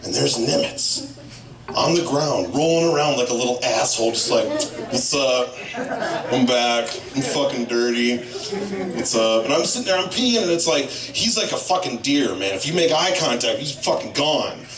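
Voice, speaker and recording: A man's voice: mid-range voice, normal speed, a crowd in the background. A man in his thirties doing a profane, rambling stand-up set in a small bar, on a handheld mic filmed from a distance. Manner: profane, rambling storytelling with lively impressions. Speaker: stand-up comedian. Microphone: handheld mic, filmed by a far camera. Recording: echoey bar room, camera far off.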